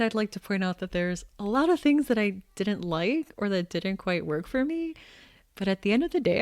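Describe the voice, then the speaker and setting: A woman co-hosting a podcast, giving her opinions in a lively, casual way and laughing often. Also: medium pitch, no room sound, clean studio sound. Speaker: podcast co-host. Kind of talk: casual chat.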